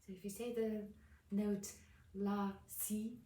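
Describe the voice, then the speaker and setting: A woman with a low voice giving a casual piano lesson, explaining calmly while playing notes, recorded from a distance in a room with a piano. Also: slow, roomy sound. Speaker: piano teacher.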